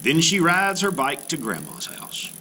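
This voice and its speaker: A man narrating a children's storybook in an expressive read-aloud style, as a teacher would. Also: fairly high pitch, unhurried, a little hiss or hum. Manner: expressive, animated delivery. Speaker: art teacher reading a children's book aloud.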